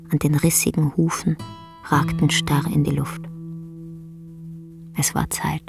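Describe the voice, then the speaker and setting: A woman narrating a literary radio drama in a solemn, measured way, with a breathy, half-whispered voice. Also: low-pitched, heavily acted. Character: solemn, tender storyteller of a sad tale.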